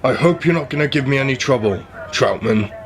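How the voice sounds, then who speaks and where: A man's voice: mid-range voice, animated, brisk, natural voice. A man playing a curt, irritable detective inspector in a radio play, dismissive and used to being in charge. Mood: irritated, dismissive. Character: brusque, irritable male police detective inspector.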